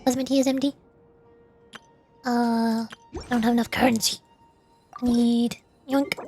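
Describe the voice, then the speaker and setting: A woman's voice: a little high, little pitch movement, a little slow, darkish tone, faint music behind. A woman livestreaming a video game, chatting in a playful, cutesy way and dropping in little sound words. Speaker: VTuber game streamer. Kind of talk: livestream gameplay chat with voiced-aloud dialogue.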